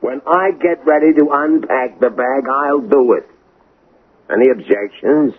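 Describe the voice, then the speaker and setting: An actor playing a domineering American smuggler in a 1980s radio drama, with a gravelly, husky voice and curt, slangy, bossy delivery. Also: male voice, medium pitch, a little slow. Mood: irritated, menacing. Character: tough, domineering male smuggler on the run. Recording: narrow tinny old radio recording.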